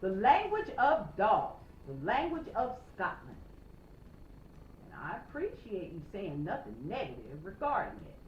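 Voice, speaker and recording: A stern old sheep farmer from a children's storybook, acted out by a woman, gruff but caring. The delivery is commanding and emphatic, with big swings in pitch. The recording is roomy with faint noise. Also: wildly swooping pitch. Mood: indignant. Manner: commanding, emphatic, measured instruction. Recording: roomy, slightly noisy, dull home recording.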